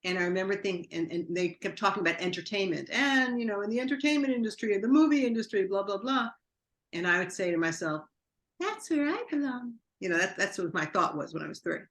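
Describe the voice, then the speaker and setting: A woman's voice: mid-range voice, animated, fast, a bit bright. A woman from New York, a guest in an interview, telling long rambling anecdotes full of quoted dialogue. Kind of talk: interview storytelling.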